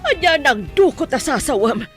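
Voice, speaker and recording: A woman's voice: high voice, big pitch swings, moderate pace, faint music behind. A woman acting a distraught, haughty rich mistress and mother in a radio soap drama. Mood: distraught, scolding. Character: distraught haughty rich mistress searching for her missing child.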